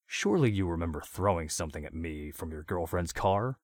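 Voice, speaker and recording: A man's voice: sits low, expressive, brisk, slightly rough, no echo. A man voicing a gleeful, sadistic male vigilante who taunts his captive and laughs often. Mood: mocking.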